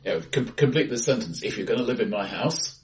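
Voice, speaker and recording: A middle-aged man chatting casually about films in a dry, wry way as a podcast co-host, in a bright, echoey room. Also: medium pitch, lively intonation, fast, recorded from a distance, a little hiss or hum. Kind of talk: casual film chat. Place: face to face in an acoustically bright room.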